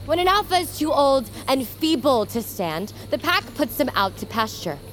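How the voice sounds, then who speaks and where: An actress playing a bold, defiant huntress, speaking in blunt, forceful declarations in an audio drama. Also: female voice, high-pitched, sing-song, normal speed, a little hiss or hum, low-quality recording. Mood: defiant challenge. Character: bold, defiant young huntress challenging for power. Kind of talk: dramatic audio fiction acting. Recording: music behind the voice.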